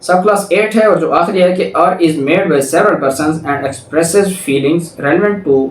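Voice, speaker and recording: Echoey, higher-voiced Pakistani man lecturing. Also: slow pace. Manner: methodical, repetitive step-by-step explaining, switching between Urdu and English. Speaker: law lecturer. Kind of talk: explanatory lecture, reading clauses aloud then explaining. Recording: echoey room, distant microphone.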